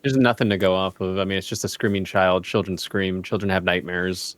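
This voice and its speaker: A man's voice: sits low, steady tone, brisk, no echo. A man co-hosting a podcast, teasing and sarcastic, swearing casually in banter. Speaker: podcast co-host.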